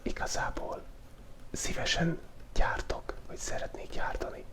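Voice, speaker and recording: A man hosting a relaxed ASMR drawing video, whispering in a loose, playful way and thinking aloud as he sketches, close to the microphone. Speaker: channel host. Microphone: close studio microphone picking up pen tablet.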